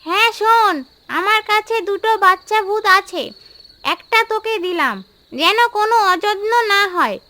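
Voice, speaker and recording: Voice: very high voice, moderate pace, sharp tone, exaggerated voice. A performer voicing a bossy old female ghost in a cartoon, scolding and threatening.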